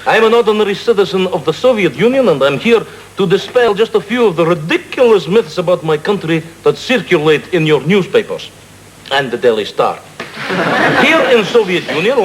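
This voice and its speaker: A man's voice: high-pitched, very animated, a little fast. A man playing a cheerful, defensive Soviet citizen with a Russian accent, delivering an earnest, stilted comic monologue. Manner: earnest, declarative, stilted phrasing. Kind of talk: comic monologue to a live audience. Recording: very noisy broadcast comedy recording.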